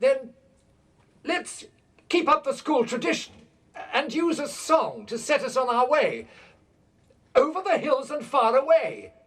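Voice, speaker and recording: A man's voice: very high voice, sing-song, a little slow, pauses often, a bit bright, distant mic, exaggerated voice. A man acting in a radio play as a friendly working man at a school and as a wartime headmaster. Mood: formal, reassuring.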